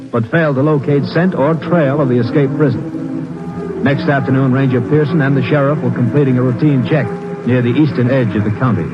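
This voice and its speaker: Actor as formal radio narrator over loud music. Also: male voice, mid-range voice, dull audio, natural voice. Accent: American. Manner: formal, declarative. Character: formal announcer narrating a police manhunt. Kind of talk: scripted narration, 1950s radio.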